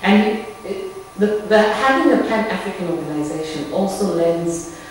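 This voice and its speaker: A woman with a Zambian accent, a media consultant and journalist, speaking in a measured, earnest way in an interview. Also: mid-range voice, lively intonation. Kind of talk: recorded interview statement. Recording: echoey room, distant mic, faint noise.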